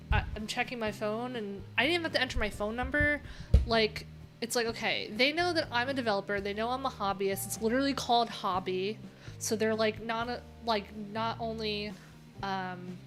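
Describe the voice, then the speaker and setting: Middle aged lady streamer thinking out loud, kinda high voice, rambly n candid, music playing behind her. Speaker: livestream host, developer. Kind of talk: casual livestream chat while browsing. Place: small cluttered room indoors.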